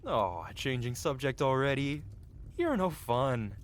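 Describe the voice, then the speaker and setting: A man's voice: mid-range voice, very animated, takes it slowly, a little hiss or hum. A man playing a cocky, flirtatious medieval blacksmith in a fantasy ASMR roleplay, with a playful, teasing and theatrical way of talking. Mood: mock hurt.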